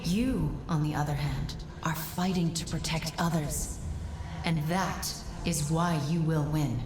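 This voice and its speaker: An actress playing a solemn mystic guide in a video game, advising in a measured, formal, earnest manner. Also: female voice, sits low, steady tone, noisy background. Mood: solemn urging. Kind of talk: video game cutscene acting. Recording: roomy echo, music behind.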